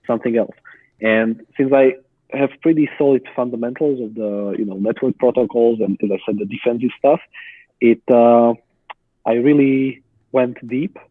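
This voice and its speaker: A man's voice: mid-range voice, moderate pace, no echo. A young man from Slovakia with a Slovak accent, a guest explaining his research in casual chat, thoughtful with many fillers. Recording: tinny phone-like call audio.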